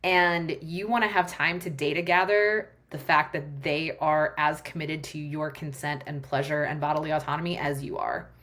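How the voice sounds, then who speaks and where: A woman's voice: low voice, fairly even intonation, moderate pace, hardly any pauses, a bit bright. A woman guest, a sex and relationship coach, talking frankly and animatedly in a casual podcast conversation, swearing freely.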